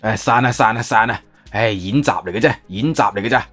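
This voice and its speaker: 一個男人用粵語有聲小說嘅演法，扮演一個慌張、囉嗦、急於安撫人嘅博物館館長，講嘢猶豫多口頭禪。. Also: male voice, lowish voice, a little fast, a bit bright, slightly rough, faint music behind. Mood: dismissive, placating. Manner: hesitant, filler-laden, long-winded. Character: flustered, wordy museum director, eager to placate. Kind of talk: Cantonese audiobook character acting.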